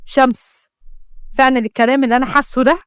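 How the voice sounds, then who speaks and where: Stern Egyptian father voiced by a woman, suspicious, demanding, high-pitched, fast, audio storytelling. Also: no room sound, studio quality, close to an everyday voice. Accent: Egyptian Arabic. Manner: accusing, demanding. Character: stern, suspicious, harsh middle-aged father.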